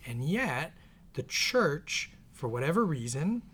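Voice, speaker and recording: A man hosting his podcast in casual conversation, his voice a little scratchy, talking in an earnest, reflective way that often trails off softly. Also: fairly high pitch, very animated, slow pace, slightly rough. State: slightly scratchy voice. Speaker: podcast host and author. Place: in the same room as co-host.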